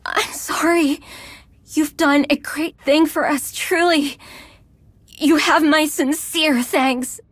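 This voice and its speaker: Game casting: young fugitive inventor, very high, earnest, anxious, closely recorded. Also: female voice, steady tone, slow, no echo. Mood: grateful. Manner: urgent, emotional, earnest. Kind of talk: video game voice acting.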